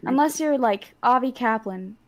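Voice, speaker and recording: A woman chatting on a live stream through a close personal microphone, halting and restarting her sentences. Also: fairly high pitch, expressive. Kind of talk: casual chat.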